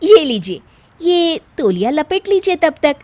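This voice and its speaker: A woman's voice: sits high, very animated, unhurried, darkish tone, pitched above the performer's own voice. A woman voicing a fussy, anxious young wife in an acted moral story, emphatic and insistent about cleanliness.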